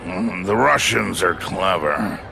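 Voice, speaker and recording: An actor playing a smug, calculating older crime boss in a video game, measured, formal and condescending. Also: male voice, sits low, expressive, gravelly, background noise, exaggerated voice. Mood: sly. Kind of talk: dramatic video game acting.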